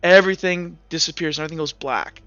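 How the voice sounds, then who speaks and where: Young guy gm, bright sharp voice, lots of ums and restarts, kinda muffled audio. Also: a little high, fast talker. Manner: hesitant, lots of fillers and restarts. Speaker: game master. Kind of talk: narrating a tabletop game. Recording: slightly muffled, with faint music.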